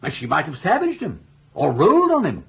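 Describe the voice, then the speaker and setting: An actor playing a suave, roguish older gentleman with an upper-class English accent, speaking in flowery, persuasive phrases in a British radio comedy. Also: fairly high pitch, wildly swooping pitch, fairly quick, vocal fry, slightly rough, full character voice. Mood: earnest, persuasive.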